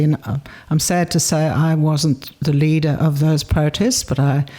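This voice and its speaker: An older woman in her seventies, originally from South Africa, recalls her past in an unhurried interview. Her voice is warm and mellow, with a slightly husky, breathy edge, and she speaks in a reflective, measured way, pausing to gather her thoughts. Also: deep voice. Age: 70s. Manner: reflective, measured storytelling with pauses. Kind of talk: reminiscing in a recorded interview.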